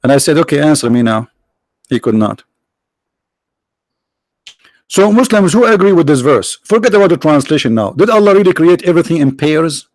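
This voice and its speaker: A man whose first language is Arabic hosting a live stream, talking sarcastically, halting often on fillers and now and then breaking into mock singing. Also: a little high, sing-song, a little mellow, no echo. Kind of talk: mocking religious debate stream.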